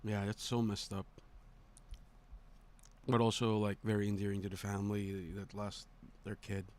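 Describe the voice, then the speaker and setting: A man's voice: lowish voice, completely flat delivery, moderate pace, a little mellow. A man giving casual, off-the-cuff commentary as a reaction video host, slangy and prone to casual swearing.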